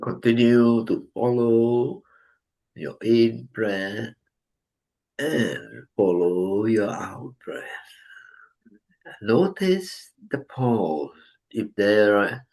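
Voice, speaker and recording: A man guides a breathing meditation in a somewhat low voice. He speaks very slowly and calmly, leaving long pauses between short instructions. He gently corrects posture and points attention back to the breath. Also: poor audio. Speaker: meditation teacher.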